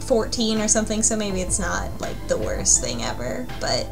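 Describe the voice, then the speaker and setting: A woman's voice: medium pitch, very animated, normal speed, gravelly, music in the background. A woman hosting a casual group discussion of a game, talking in a wry, joking way.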